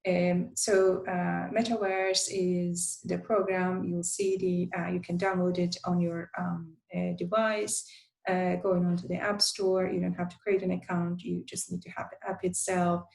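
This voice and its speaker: Turkish-native woman giving a talk, flat steady delivery, lots of uh and um. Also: lowish voice, creaky, low-quality recording. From Turkey. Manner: halting, many fillers and restarts. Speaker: presenter. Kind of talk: conference presentation.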